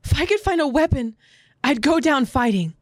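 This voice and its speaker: A man voicing an earnest, determined young woman soldier in a high falsetto. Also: expressive, moderate pace, dry recording, clean studio sound.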